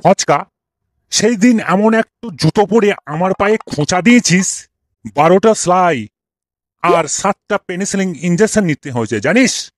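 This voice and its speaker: A man's voice: high-pitched, expressive, a little slow, dry recording. A man playing a cranky old schoolmaster in a comic cartoon, scolding and ranting with exasperated, theatrical rhetorical questions. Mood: indignant ranting. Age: older.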